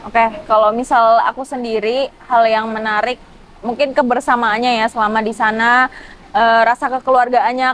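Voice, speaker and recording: Young woman, high pitch, chatty colloquial student guest. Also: slight background noise. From Java, Indonesia. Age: young adult. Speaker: talk show guest, university student. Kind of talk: casual talk show chat.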